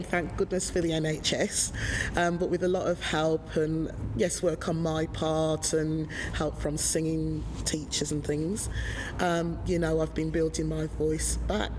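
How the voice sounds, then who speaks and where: Low-voiced woman, chatty and halting. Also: noisy background. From Birmingham, England. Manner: hesitant, many ums, chatty and rambling. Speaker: singer in a band, interviewee. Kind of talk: casual interview talk. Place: music venue with murals.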